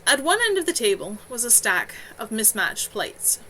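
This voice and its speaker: A woman narrating a novel as its author, in an expressive storytelling style with animated dialogue. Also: on the high side, moderate pace, a little hiss or hum, low-quality recording. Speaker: author reading own novel. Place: at home on a live stream.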